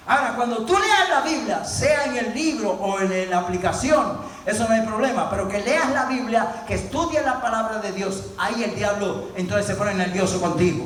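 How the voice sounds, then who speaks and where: A man preaching an impassioned sermon in an emphatic, exhorting manner, repeating words and phrases for effect. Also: sits high. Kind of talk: impassioned Spanish-language sermon. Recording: very echoey room, distant mic, faint noise.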